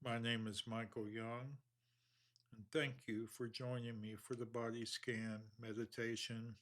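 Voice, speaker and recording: A man leading a guided body scan meditation in an unhurried, measured, soothing manner with long pauses. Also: mid-range voice, little pitch movement, fairly bright tone.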